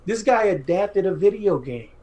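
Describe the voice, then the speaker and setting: A man's voice: sits high, expressive, moderate pace, over a noisy crowd. A middle-aged man co-hosting a podcast, talking casually and effusively, repeating phrases for emphasis. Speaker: podcast co-host. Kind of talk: casual enthusiastic group chat.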